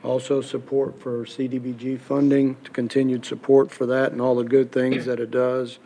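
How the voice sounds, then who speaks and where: A man's voice: medium pitch, completely flat delivery, moderate pace, mellow. A man serving as mayor pro tem, giving a businesslike council report. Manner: listing, businesslike. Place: council chamber.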